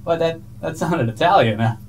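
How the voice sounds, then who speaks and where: Elf studio engineer acted by a man: high pitch, stammering fake politeness, deadpan needling, some background noise. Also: normal speed. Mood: dryly critical. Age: middle-aged. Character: mischievous male elf sound engineer, falsely apologetic. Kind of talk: casual comedy sketch dialogue. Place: studio control room.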